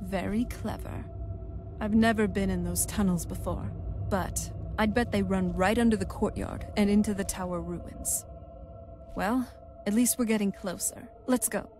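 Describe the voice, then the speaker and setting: A woman's voice: medium pitch, fairly even intonation, moderate pace, close to an everyday voice. Actress as a wry vampire noblewoman, music behind. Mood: wry, intrigued. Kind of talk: video game character dialogue. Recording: game audio with background music.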